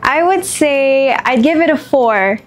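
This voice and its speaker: A young woman with a Filipino accent and a fairly high voice gives candid, personal answers as an interview guest. Soft music plays behind her. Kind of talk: candid personal confession to camera. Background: background music.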